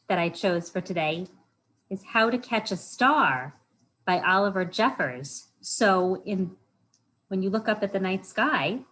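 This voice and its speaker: A woman with a slightly low voice speaks very slowly, as a storytime host does for young children. She explains things simply and often asks the listeners questions. There is clear noise behind her on the recording. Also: fairly bright tone, rough recording. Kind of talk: children's storytime, reading aloud and chatting with questions.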